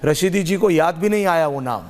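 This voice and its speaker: Insistent, higher-pitched male debate host in heavy reverb. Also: animated, a little fast, darkish tone. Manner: insistent, confrontational, repeats phrases emphatically; mixes English phrases into Hindi. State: strained sore throat. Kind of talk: heated TV debate moderation. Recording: echoing hall-like reverb.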